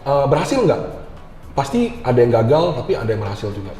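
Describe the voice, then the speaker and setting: A man's voice: mid-range voice, sing-song, fairly quick, warm tone, roomy sound, slight background noise. A man with a Jakarta Indonesian accent chatting informally as an interview guest, mixing English words into his talk. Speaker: guest, IT university lecturer. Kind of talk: casual interview chat.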